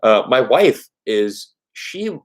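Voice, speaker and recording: A man's voice: medium pitch, sing-song, slow. A man whose was German, hosting a live-stream Q&A, talking earnestly and conversationally and often restarting phrases with "you know". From United States. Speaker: YouTube host.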